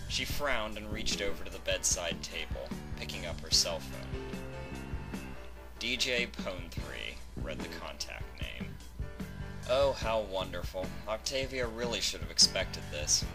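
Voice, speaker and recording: Very deep-voiced male story narrator. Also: big pitch swings, unhurried, music in the background. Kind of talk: scripted story narration of fan fiction.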